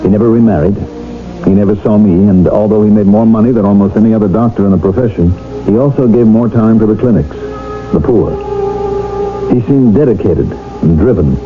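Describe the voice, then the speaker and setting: Man acting a middle-aged doctor, grave storyteller, very low voice, dull old radio sound, music behind. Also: a little fast, lightly acted. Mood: grave, reflective. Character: world-weary middle-aged male doctor narrating his tale. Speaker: narrator and doctor character.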